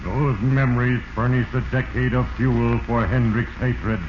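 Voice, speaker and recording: An actor playing a sinister, all-knowing narrator of destiny, portentous and heavy-handed, in 1940s radio drama style. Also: male voice, mid-range voice, steady tone, measured pace, close to an everyday voice. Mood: ominous. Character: Fate, sinister omniscient narrator. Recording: echoey, muffled, noisy 1940s radio.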